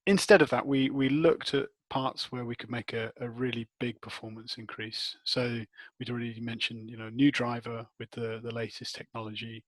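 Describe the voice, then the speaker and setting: A man's voice: mid-range voice, fairly even intonation, moderate pace, dry recording. A man giving technical interview answers as an expert, thinking aloud and often rephrasing as he goes. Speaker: interviewed expert, head of research and development.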